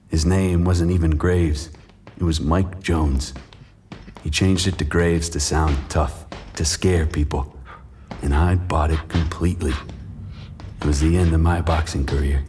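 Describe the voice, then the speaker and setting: An actor playing a bitter, regretful washed-up boxer, narrating a noir audio drama in brooding, terse sentences. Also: male voice, very deep voice, steady tone, a little slow, mellow, soft background music.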